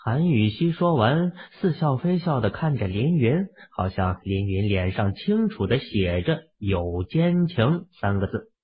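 A man's voice: mid-range voice, sing-song, a little slow, few pauses, slightly rough. A man narrating a novel as a scripted dramatic audiobook. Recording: muffled, phone-like, lo-fi audio.

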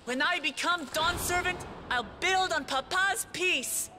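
A woman's voice: extremely high pitch, measured pace, pushed and bright, faint music behind, full character voice. An actress playing a young, idealistic lion-woman warrior princess in a video game, speaking earnestly and from the heart. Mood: hopeful, resolute. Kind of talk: voiced game cutscene dialogue.

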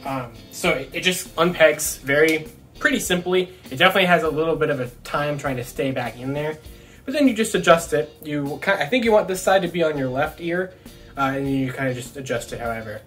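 A man's voice: a little high, animated, fairly quick, a bit bright, soft background music. A young man chatting casually to camera as he reviews a toy, rambling and thinking aloud. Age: young adult. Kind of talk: casual unboxing chat to camera. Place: small room at home, indoors.

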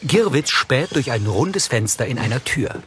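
A man's voice: mid-range voice, sing-song, unhurried, background noise. A man reading scripted audio description of on-screen action in a neutral, factual manner.

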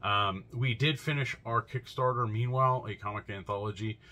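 A man's voice: lowish voice, normal speed, bright, forceful tone. A man in his thirties vlogging casually to camera from a shelf-lined room at home, rambling with lots of fillers and restarts. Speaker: comic shop vlogger.

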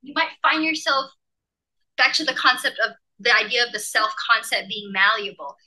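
A woman from Malaysia, a professor, leading an online teaching workshop over a video call and explaining with lively emphasis. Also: medium pitch, low-quality recording.

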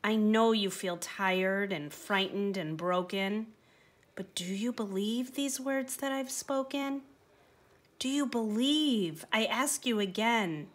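A woman voicing a calm, mysterious, kindly man in a rhyming storybook, measured and reassuring. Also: a little high.

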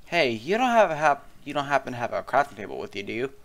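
A man's voice: mid-range voice, sing-song, fast talker, vocal fry, fairly bright tone. A young man chatting casually while playing a game, often pleading with the monsters on screen. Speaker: gaming channel co-host. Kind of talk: casual gameplay commentary.

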